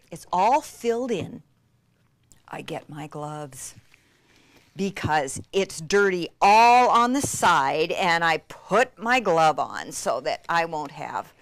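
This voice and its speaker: A woman teaches a craft with gushing, breathless delight. Her intonation swoops up and down while the pace stays leisurely, often dipping into breathy, near-whispered asides. The sound is dry and close. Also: mid-range voice, dry recording. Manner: excitable, gushing, with breathy gasps and exclamations. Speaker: craft instructor. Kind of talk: enthusiastic hands-on craft demonstration.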